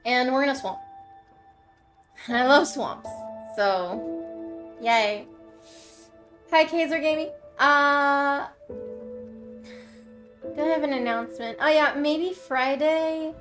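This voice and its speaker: A woman's voice: high-pitched, takes it slowly, pauses often, a bit bright. A woman streamer from Quebec, whose first language is French, chatting casually with her viewers, rambling and laughing often as she thanks them. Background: game music.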